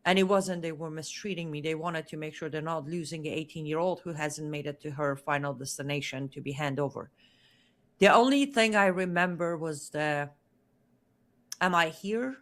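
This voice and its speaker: A woman's voice: low voice, normal speed. Earnest woman recounting her past. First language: Farsi. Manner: earnest, emphatic, repeats words for stress. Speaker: guest. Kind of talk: interview testimony.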